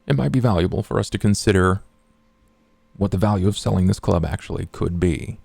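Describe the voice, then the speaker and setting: A man playing a suave, scheming and courteous confidant in a tabletop roleplay, speaking formally in wordy, measured phrases. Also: deep voice, creaky, a little mellow, no echo. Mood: calculating.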